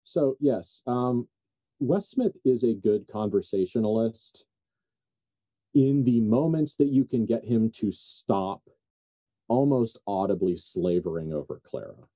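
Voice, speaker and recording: Dark-voiced man narrating a tabletop game. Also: fairly low pitch, expressive, a little slow, no echo, rough recording. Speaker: game master.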